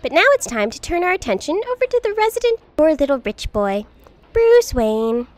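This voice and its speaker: A woman playing a friendly, teasing high school girl in an audio drama, casual and perky. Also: very animated, normal speed.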